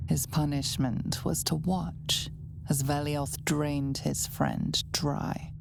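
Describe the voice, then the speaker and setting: An actress playing a solemn, ominous storyteller, narrating a fantasy video game in a measured, deliberate way. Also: female voice, low voice, fairly even intonation, gravelly, noisy background, full character voice. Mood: grave, ominous. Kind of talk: dramatic scripted game narration.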